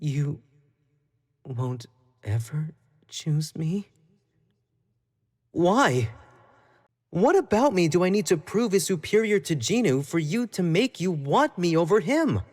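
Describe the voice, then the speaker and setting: Man acting an obsessive, theatrical young idol, wildly swooping pitch. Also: animated, slow, long pauses. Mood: wounded, indignant. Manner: theatrical, swinging between sweet pleading and cold condescension. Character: obsessive young male pop idol, jealous, clingy, menacing kidnapper. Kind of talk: dramatic roleplay monologue spoken intimately to the listener.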